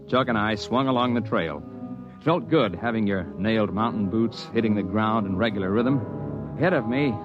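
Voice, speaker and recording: A man plays a hearty, steady mountaineer in a 1950s radio drama, both narrating and speaking in scenes. His voice is fairly low, on a dull old broadcast recording with prominent music behind him. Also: lightly acted. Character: steady, hearty male mountain climber telling his tale. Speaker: narrator and character.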